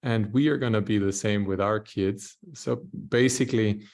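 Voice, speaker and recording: A man from Austria, a panelist telling his story in a reflective, measured way. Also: on the low side, fairly even intonation, darkish tone. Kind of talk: panel discussion.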